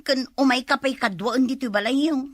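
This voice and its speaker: A woman playing a shrill, nagging wife. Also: normal speed, no room sound, studio quality. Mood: chatty. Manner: shouting, scolding. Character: loud nagging wife who won't bathe. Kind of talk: comedy web drama acting.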